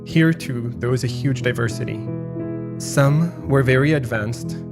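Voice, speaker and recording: Male podcast host; calm, reflective scripted narration over plucked guitar music. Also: mid-range voice, measured pace.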